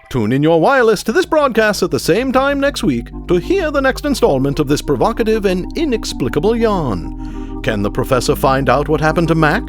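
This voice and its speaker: An actor playing a theatrical, grandiose announcer of a 1930s radio serial, dramatic and pompous. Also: male voice, high-pitched. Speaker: narrator and announcer. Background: loud music.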